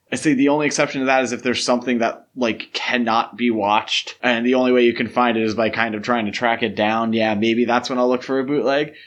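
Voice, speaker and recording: A man hosting a podcast, discussing film in a casual but detailed, explanatory way. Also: mid-range voice. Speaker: podcast host.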